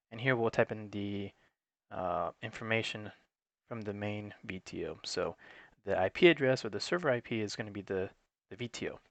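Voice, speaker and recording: A man's voice: lowish voice, vocal fry, no echo. A man giving an unscripted, matter-of-fact, step-by-step tutorial in an instructional way. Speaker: technical support presenter.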